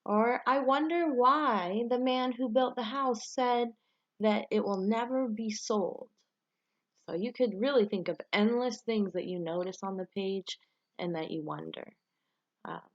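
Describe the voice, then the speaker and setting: A woman with a somewhat high voice speaks as a teacher doing a read-aloud for children. Her delivery is instructional and aimed at young listeners. The recording is a little dull and lo-fi. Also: a little slow. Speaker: teacher reading aloud to a class.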